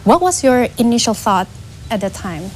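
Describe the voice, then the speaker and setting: A woman's voice: on the high side, big pitch swings, moderate pace, slight background noise. A woman with an Indonesian accent asks questions as the interviewer in a corporate interview.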